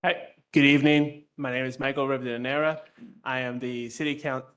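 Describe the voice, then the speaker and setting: A man's voice: medium pitch, little pitch movement, brisk, a bit bright, clean tone. A man from the Bronx introducing himself politely and formally as a board member. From the Bronx, New York.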